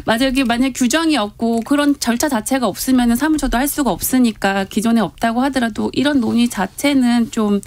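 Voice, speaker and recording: High-voiced woman giving measured panel commentary. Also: darkish tone. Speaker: political aide, panel guest. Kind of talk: conversational radio panel commentary. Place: radio studio.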